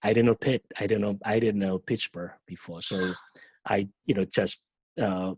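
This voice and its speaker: A middle-aged man from Indonesia with an Indonesian accent and a husky voice, a professor answering questions at a webinar in a halting way, often repeating words and restarting. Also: fairly low pitch, fairly even intonation, darkish tone. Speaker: professor and program director. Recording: muffled, echoey webinar audio.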